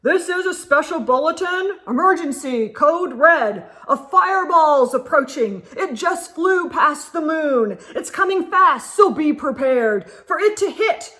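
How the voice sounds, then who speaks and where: A woman voicing an urgent news announcer in a children's storybook, loudly declaiming an emergency bulletin. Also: high voice, expressive, measured pace, hardly any pauses, voice pushed up, exaggerated voice. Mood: urgent, alarmed.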